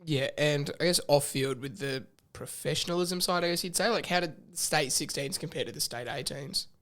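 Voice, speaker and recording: A man's voice: a little high, moderate pace, a bit bright. A man hosting a podcast interview, easygoing and joking, asking questions and throwing in short agreeing replies. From Australia. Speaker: podcast host. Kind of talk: casual interview.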